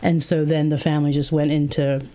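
A woman's voice: low voice, little pitch movement, a little fast, dark tone. A woman guest in a casual interview, talking reflectively with many fillers like "like" and "um", with faint background noise. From England.

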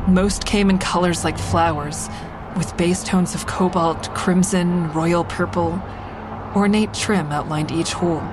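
Female actor for earnest, haunted survivor, audio drama lead. Slightly low, deliberate, intense narration over clear music. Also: natural voice. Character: haunted woman survivor of a train shooting, earnest.

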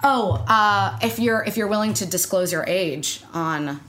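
A woman's voice: mid-range voice, a little slow, fairly bright tone, a little hiss or hum. A woman in her twenties, an actor and screenwriter, chatting as an interview guest with lots of restarts and small laughs. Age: 20s. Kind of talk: casual interview chat.

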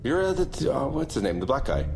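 A cocky wisecracker in an audio drama. His mid-pitched voice is animated and flippant, full of casual slang, with music clearly behind it. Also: fast. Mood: cocky, teasing. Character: cocky, wisecracking young guy on a hunt.

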